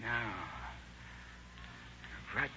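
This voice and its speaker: Unhinged killer in noisy old radio drama. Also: male voice, extremely slow. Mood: dazed, subdued. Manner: menacing, agitated, repetitive. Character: unhinged volatile male robber and hammer killer. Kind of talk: 1930s radio drama acting. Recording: muffled, noisy old 1930s radio recording.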